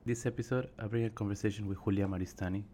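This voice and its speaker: A man hosting a podcast, introducing his guest and asking questions in a friendly, halting way. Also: fairly low pitch, steady tone, dark tone, slight background noise. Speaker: podcast host.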